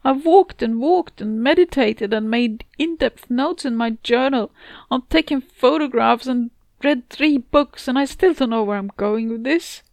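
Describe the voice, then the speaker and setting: A woman's voice: a little high, a little slow, creaky, darkish tone, no echo, pitched above the performer's own voice. A woman with a British accent voicing a distraught, self-doubting, frustrated heroine in a dramatic story. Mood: anxious, pleading. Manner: anguished, self-berating, emphatic. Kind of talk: dramatic first-person story dialogue.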